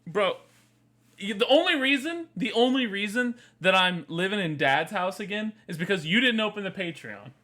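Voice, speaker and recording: A man's voice: sits high, animated, normal speed, sharp tone. A man in his twenties co-hosting a podcast, chatting casually, joking crudely and laughing often. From Florida. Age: 20s. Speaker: podcast co-host.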